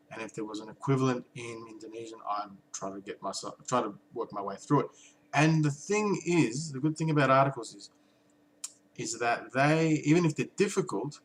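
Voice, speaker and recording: A man's voice: medium pitch, a little hiss or hum, low-quality recording. Hesitant man chatting about language learning. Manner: thoughtful, hesitant, many ums and restarts. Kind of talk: casual talk to camera.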